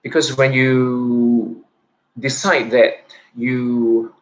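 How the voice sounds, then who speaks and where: A man in his thirties, a teacher, giving a personal reflective talk, speaking haltingly with a stutter and frequent repeats and restarts. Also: mid-range voice, little pitch movement, poor audio. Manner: soft-spoken and introverted.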